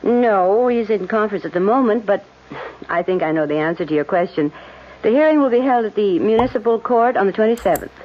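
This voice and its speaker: An actress playing a lively, caring, teasing secretary to a lawyer in a vintage radio drama. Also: female voice, mid-range voice, fairly quick, close to an everyday voice. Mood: polite. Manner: teasing, expressive. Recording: dull, noisy old radio recording.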